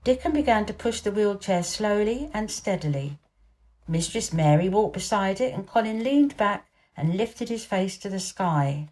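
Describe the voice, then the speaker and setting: Woman narrating a children's story, medium pitch. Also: a little mellow. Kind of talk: expressive scripted narration of a children's novel.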